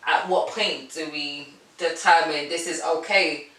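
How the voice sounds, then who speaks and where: A British woman co-hosts a casual podcast with a bright, forceful voice. She talks bluntly and tells things in an animated, slangy way. The recording is echoey, with her sitting a little back from the mic. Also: sits low, a little slow. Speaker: podcast co-host. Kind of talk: casual chat. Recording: roomy echo, distant from the mic.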